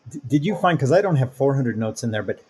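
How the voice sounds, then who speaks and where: Male podcast host, medium pitch. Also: lively intonation, quick pace, a little mellow, slight background noise. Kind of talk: podcast introduction and interview.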